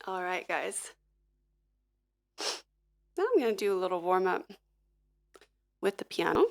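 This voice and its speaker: A woman hosting a livestream, gently talking viewers through a vocal warm-up at her piano with a slightly froggy morning voice. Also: lowish voice, unhurried, vocal fry. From Filipina-American. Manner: gentle, encouraging, instructive. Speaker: singer-songwriter and livestream host. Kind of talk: casual vocal warm-up coaching.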